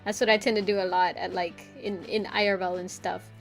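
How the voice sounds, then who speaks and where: A woman's voice: medium pitch, little pitch movement, brisk, creaky, soft background music, low-quality recording. A young woman chatting casually to her stream while drawing, rambling with lots of filler words and frequent giggles. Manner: giggly, playful voice play.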